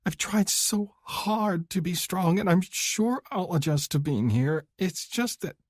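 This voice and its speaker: A man voicing a tearful young woman pleading her love, high and breathy as she weeps. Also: expressive, unhurried, no room sound, studio quality. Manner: high, breathy, weeping delivery.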